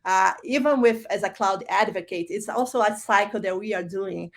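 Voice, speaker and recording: A woman in her thirties with a Brazilian Portuguese accent gives a keynote in a relaxed, conversational way. She often stops to rephrase and fills pauses with "uh" and "like." Her voice sits at a middle pitch for a woman. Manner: conversational, many fillers and restarts. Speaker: keynote speaker. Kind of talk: conference keynote talk.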